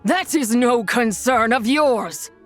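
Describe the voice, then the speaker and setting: A woman's voice: fairly high pitch, animated, takes it slowly, a bit bright, soft background music. A woman voicing a brooding, wrathful female spirit in a game, intense and ominous. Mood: tormented. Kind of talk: game cutscene acting.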